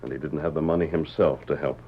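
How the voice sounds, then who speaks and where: An actor playing a calm, commanding western hero who tells a tale in measured, deliberate radio-drama style. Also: male voice, extremely low pitch, slightly rough, exaggerated voice. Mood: grave, steady. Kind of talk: old-time radio drama acting. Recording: dull vintage radio recording.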